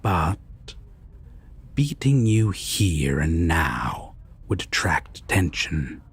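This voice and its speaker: Vampire tyrant villain, performed by a man: very low, extremely husky, sadistic and condescending, for dark audio roleplay. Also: big pitch swings, heavily acted. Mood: cold, threatening. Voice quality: husky, breathy, often near whisper. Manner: menacing, slow, deliberate, condescending.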